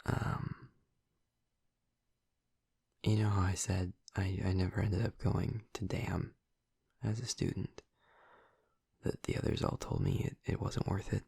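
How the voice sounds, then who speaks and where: A man playing a gentle, earnest vampire boyfriend in an intimate audio roleplay, speaking softly and haltingly in a husky, breathy, hushed voice. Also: deep voice, little pitch movement, dry recording, clean studio sound, exaggerated voice. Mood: earnest, resolute. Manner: halting, soft-spoken, confiding with long pauses. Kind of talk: intimate audio roleplay, ASMR-like soft delivery.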